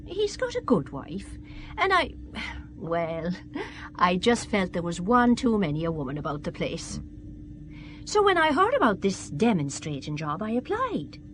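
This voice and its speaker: A woman's voice: on the low side, huge pitch swings, measured pace, slight background noise. An actress playing a chatty, friendly middle-aged Irish countrywoman in an audio drama. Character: chatty middle-aged Irish mother and weaver travelling abroad.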